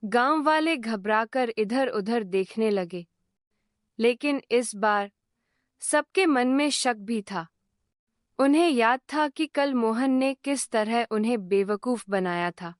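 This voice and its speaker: A woman tells a children's cartoon story in a slow, deliberate voice-over. Her voice sits a little high, and the recording is dry, with no room sound. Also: no echo. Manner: calm, measured. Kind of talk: scripted storybook narration.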